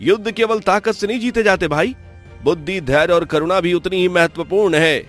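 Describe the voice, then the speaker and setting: A man voices a principled young prince in a cartoon tale. He declaims earnestly and with calm confidence, with soft music underneath. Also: high-pitched, a little fast, pitched above the performer's own voice. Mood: stern, principled. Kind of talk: Hindi cartoon moral-story voice acting.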